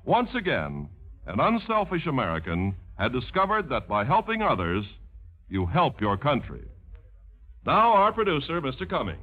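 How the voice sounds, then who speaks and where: A man's voice: medium pitch, wildly swooping pitch, normal speed, breathy edge. A man announcing and reading scripted narration in 1950s radio style. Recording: muffled, phone-like vintage radio broadcast.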